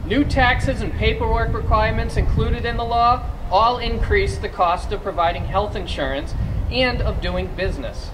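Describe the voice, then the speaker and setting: A man's voice: high-pitched, background noise. A man with a Massachusetts accent delivers a prepared political speech at a rally, speaking formally and emphatically as an advocacy spokesman. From Massachusetts, United States. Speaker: small business advocacy spokesman.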